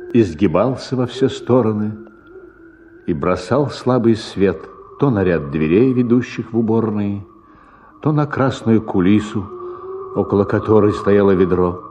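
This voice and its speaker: A male narrator reads literary prose for radio. His voice is very low and mellow, and he phrases slowly and deliberately. Faint noise sits behind him.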